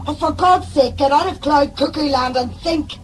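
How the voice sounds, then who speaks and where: A woman's voice: sits high, normal speed, a little hiss or hum, close to an everyday voice, rough recording. An actress playing a blunt, impatient, commanding woman convict in an audio drama. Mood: angry, impatient. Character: sharp, impatient, commanding woman convict aboard a scavenger ship.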